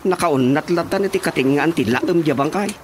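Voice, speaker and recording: A man's voice: a little high, fairly quick, a crowd in the background, close to an everyday voice. A man acting an evasive, flustered village carpenter in a radio drama comedy, hesitant and stammering, with an Ilocano accent. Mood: deferential. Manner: stammering, hesitant, nervous interjections.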